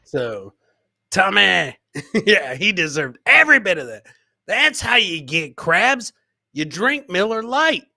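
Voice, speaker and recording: Bright, high-pitched man riffing on a podcast. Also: sing-song, takes it slowly, creaky, no echo, studio quality. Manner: rambling, jokey, repeats catchphrases. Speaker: host of a live comedy podcast. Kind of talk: casual live reaction commentary on funny clips.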